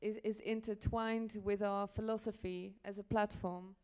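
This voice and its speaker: A woman from the Netherlands speaks as an editor presenting on a conference panel. Her voice is smooth and clear, at a medium pitch. She explains in a halting way, pausing and rewording as she goes. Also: level tone, mellow.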